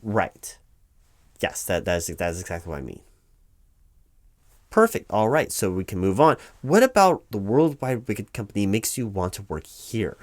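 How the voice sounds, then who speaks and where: A man's voice: lowish voice, big pitch swings, normal speed. A man playing a distracted, evasive corporate hiring manager in a one-sided comedic interview roleplay, stammering and rambling officiously. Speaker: performer playing a job interviewer.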